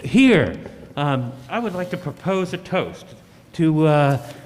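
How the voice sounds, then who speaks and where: A man playing a meek, kindly father in a 1940s-style radio play, hesitant, earnest and gentle. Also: on the high side, animated, slow pace, a little hiss or hum. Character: meek, kindly working-class father and husband. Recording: reverberant hall.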